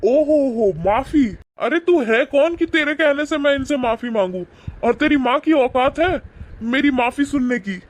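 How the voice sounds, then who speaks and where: A man acts out a young husband in a cartoon moral story, with a very high-pitched voice. He is angry and rude, scolding his wife and in-laws in an indignant, accusing way. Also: measured pace, a little hiss or hum. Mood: contemptuous. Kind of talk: animated moral-story dialogue.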